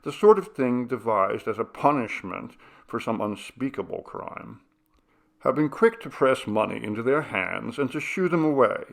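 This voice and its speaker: A man reading short fiction aloud as an audiobook narrator, in an expressive storytelling manner with a gravelly voice. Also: medium pitch, moderate pace. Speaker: audiobook reader.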